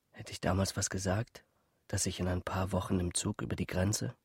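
An actor playing a blunt, earnest young man in a radio drama, with a low, husky voice. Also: darkish tone, dry recording, clean studio sound, full character voice. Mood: regretful, intimate. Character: blunt, urgent young man pleading with a woman.

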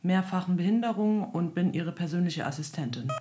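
Woman, forties, lowish voice, plain self-introduction. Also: fairly quick, background noise. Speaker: personal care assistant.

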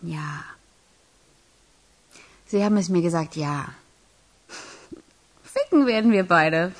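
A woman's voice: lowish voice, lively intonation, slow. An actress playing a blunt, anxious young woman in a radio crime drama. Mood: defiant, anxious.

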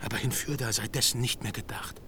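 An actor playing a boisterous, coarse and effusive twin brother in a literary radio drama, with theatrical, drawn-out delivery. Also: male voice, mid-range voice, bright, forceful tone, slight background noise, natural voice. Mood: effusive, brotherly.

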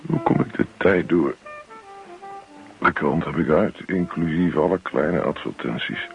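Grumpy, indignant husband, muffled recording. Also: male voice, rumbling low, unhurried, vocal fry, soft background music, full character voice. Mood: bored grumbling. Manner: indignant, sarcastic grumbling. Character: grumpy, indignant husband, easily exasperated and mocking. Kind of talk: radio play acting. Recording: muffled, dull radio play recording.

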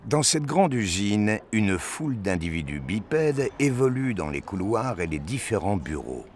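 A man's voice: lowish voice, big pitch swings, measured pace, a little hiss or hum. A man reading scripted narration for a science fiction audio drama.

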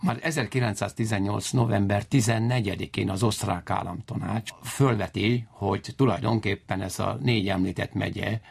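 A male historian with a low, creaky and husky voice gives explanations in an interview. He lectures in a digressive way, often circling back to rephrase. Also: steady tone, few pauses. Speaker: historian guest and university lecturer.

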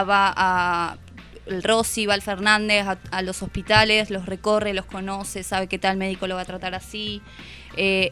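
An Argentine woman speaks as a guest discussing a book, with music playing behind her. Her talk is unscripted and reflective, and she slips into quoted thoughts in a medium-pitched voice. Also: pushed and bright.

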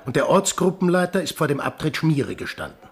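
An actor playing a blunt, combative, sardonic sailor in a radio drama. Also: male voice, fairly high pitch, sing-song, fairly quick, full character voice. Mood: angry, accusing. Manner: sardonic, gruff, confrontational. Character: blunt, combative sailor accusing villagers of wartime murder.

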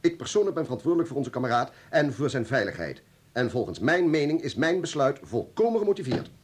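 An actor playing a stubborn, agitated communist security official in a Dutch radio play, insistent and defensive, with an authoritative manner. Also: male voice, medium pitch, very animated, fast talker, slight background noise, close to an everyday voice. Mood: resolute.

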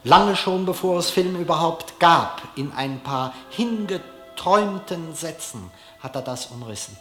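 A man giving a public lecture in a deliberate, halting way with dramatic pauses. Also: on the high side, lively intonation, pushed and bright, faint music behind. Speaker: film director giving a lecture. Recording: echoey hall, long reverb.

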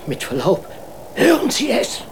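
An actor playing a deferential, worried court marshal in a children's radio play, halting, stammering and politely cautious. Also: mid-range voice, wildly swooping pitch, background noise.

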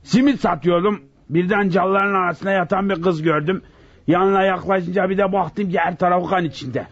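On a muffled radio-play recording, a humble young street vendor. The voice is pitched up and hesitant, with simple, deferential phrasing. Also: male voice, fairly even intonation, moderate pace. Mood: nervous, hesitant. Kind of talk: radio drama acting. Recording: muffled old radio broadcast.

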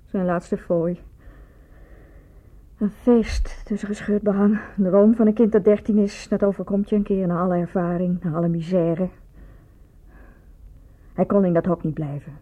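A woman's voice: lowish voice, fairly even intonation, moderate pace, long pauses, dark tone, natural voice. An actress in a radio play voicing a lovestruck, self-reproaching provincial woman, speaking in a reflective, confessional manner. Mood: wistful. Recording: thin, phone-like old radio recording.

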